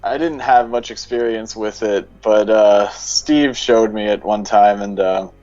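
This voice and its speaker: A man's voice: on the low side, a little slow, darkish tone. A man talking casually as a guest on a podcast. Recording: dull lo-fi mic, faint music behind.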